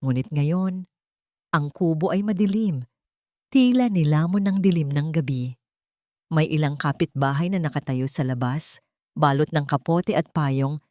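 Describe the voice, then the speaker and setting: Filipino woman narrating drama in a lowish voice. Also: a little mellow, dry recording, studio quality. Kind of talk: dramatic scripted story narration.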